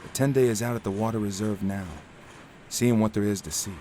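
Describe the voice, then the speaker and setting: An actor playing a serious, worried investigator with clipped hard-boiled delivery in a noir audio drama. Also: male voice, lowish voice, fairly even intonation, brisk, noisy background, close to an everyday voice. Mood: grave, probing. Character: earnest, worried male investigator in noir moon city.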